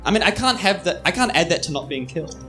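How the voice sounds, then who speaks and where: A man's voice: on the high side, expressive, fast, sharp tone. A man bantering casually as a player in a live tabletop game. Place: live on stage in a club. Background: music.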